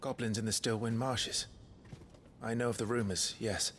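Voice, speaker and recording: An actor playing a dutiful young noble knight with a British accent, formal and restrained, in a fantasy game. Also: male voice, lowish voice, fairly even intonation, moderate pace, fairly bright tone, a little hiss or hum, close to an everyday voice. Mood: sober. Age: young adult. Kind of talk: dramatic fantasy game acting.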